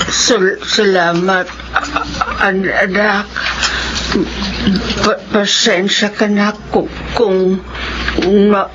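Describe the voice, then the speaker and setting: Ailing stroke-weakened mother, extremely slow, stammering, gentle, muffled noisy recording, radio drama. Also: medium pitch. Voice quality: halting, broken, stammering speech. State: weak, struggling to speak. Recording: muffled recording with clear background noise.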